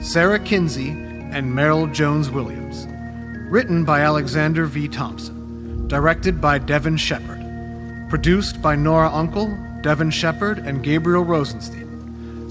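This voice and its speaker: A man reading scripted podcast credits as the show's announcer, with music playing behind him. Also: fairly high pitch.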